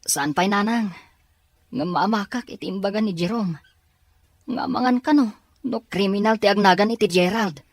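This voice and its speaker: A woman's voice: mid-range voice, normal speed, long pauses, no echo, studio quality, lightly acted. Woman playing an earnest lovelorn young student. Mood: worried. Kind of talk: audio drama acting.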